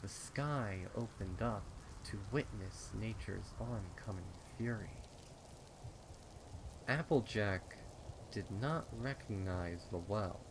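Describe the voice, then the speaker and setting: A man with a fairly low voice narrates a horror tale. He takes it very slowly, with ominous, dramatic phrasing. Rain is clearly heard behind the reading. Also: noisy background. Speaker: fan fiction narrator. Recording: rain and storm ambience behind.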